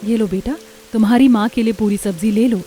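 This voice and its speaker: A woman voicing a kind, poor mother in a cartoon story, speaking gently and soothingly. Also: fairly high pitch, steady tone, fairly quick, breathy edge. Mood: caring. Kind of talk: Hindi cartoon story acting.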